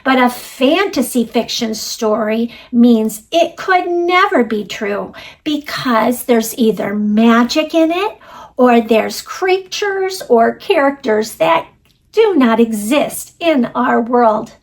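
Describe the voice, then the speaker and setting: High female voice, slow pace, animated storybook read-aloud, instructive kids' host, music behind. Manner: animated, instructive, addressing young children directly. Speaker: host reading picture books aloud to children.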